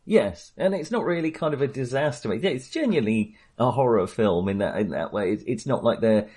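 A man's voice: medium pitch, lively intonation, a little fast, a little mellow, slightly rough. A middle-aged man casually discussing film as a podcast co-host, hesitant and thoughtful, circling back on his phrases.

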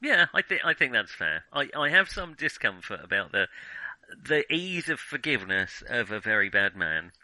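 Bright, forceful man talking films. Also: medium pitch, sing-song, normal speed, dry recording, rough recording. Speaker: film podcast host. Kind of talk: casual film discussion.

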